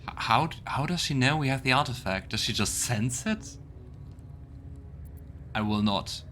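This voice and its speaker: A man's voice: medium pitch, expressive, unhurried. A man hosting a let's play, giving casual gaming commentary and thinking aloud in a conversational way. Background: loud game music.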